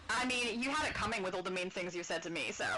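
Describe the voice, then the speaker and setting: A woman's voice: mid-range voice, fast, over a noisy crowd. A woman from Australia with a light, slightly British-tinged Australian accent, casually chatting and laughing while hosting a gaming livestream and reading chat aloud. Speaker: livestream host.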